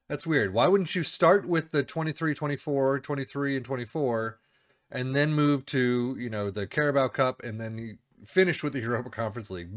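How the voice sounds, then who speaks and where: A middle-aged man casually commenting on a football management game save, reading out numbers with chatty asides, recorded at a desk in a small room. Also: fairly high pitch. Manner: chatty, reads stats aloud with asides. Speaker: video game YouTuber.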